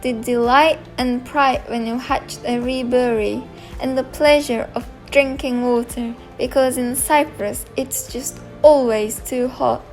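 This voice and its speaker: A woman's voice: high voice, fairly even intonation, a little mellow, soft background music, rough recording. Heartfelt woman's reflective voice-over. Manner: heartfelt, measured. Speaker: volunteer narrator.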